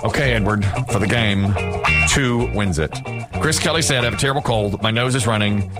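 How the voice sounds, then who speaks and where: A man's voice: fairly low pitch, a little fast. Joking male radio host over music. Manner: jokey, reads listener lines. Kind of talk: radio show game and chat.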